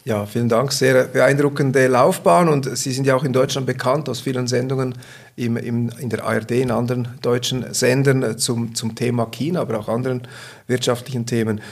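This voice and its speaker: A man's voice: mid-range voice, steady tone, brisk. A man from Switzerland, formally hosting a finance podcast and interviewing a guest politely. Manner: polite, formal, occasional fillers. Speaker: podcast host, bank investment officer.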